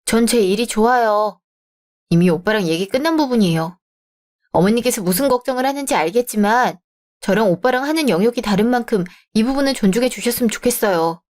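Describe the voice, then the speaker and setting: A woman's voice: fairly high pitch, lively intonation, fairly quick, a little mellow, natural voice. A woman voicing a composed young bride-to-be in a radio drama, polite but firm as she argues her point.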